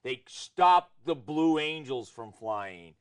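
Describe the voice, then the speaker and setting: A man's voice: a little high, expressive, takes it slowly, clean tone. A middle-aged American man hosting a political talk show, giving his opinions in conversation.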